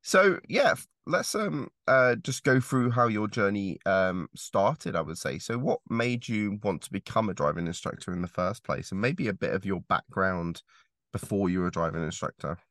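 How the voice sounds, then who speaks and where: A man's voice: on the low side, expressive, moderate pace, fairly bright tone, no echo, clean studio sound. A man hosting an interview podcast, chatty and hesitant, with lots of fillers and restarts. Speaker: podcast host, driving instructor trainer. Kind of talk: casual interview chat.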